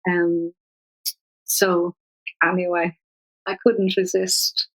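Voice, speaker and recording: An older woman poet with an Irish accent, talking thoughtfully with many restarts and reading her verse slowly. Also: on the low side, level tone, poor audio. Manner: thoughtful, searching, frequent restarts; slow measured poetry reading. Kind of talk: conversational interview with poetry reading.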